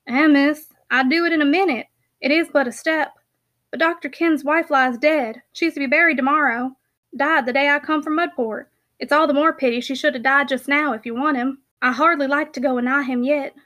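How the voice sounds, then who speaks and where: Lady doing a good-hearted chatty peddler guy from an old novel, earnest, bright high voice. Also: fairly even intonation, moderate pace. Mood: sympathetic, hesitant. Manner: folksy, earnest, rambling. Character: plainspoken rustic packman, man, good-hearted, loyal, talkative. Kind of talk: audiobook character voice.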